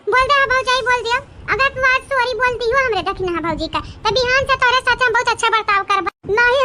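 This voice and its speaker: An extremely high, childlike-pitched cartoon village woman, bickers with stubborn, taunting force. Her speech carries an eastern Uttar Pradesh accent. Clear noise sits behind the voice. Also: bright, forceful tone. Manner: scolding, taunting, combative. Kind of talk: cartoon comedy dialogue.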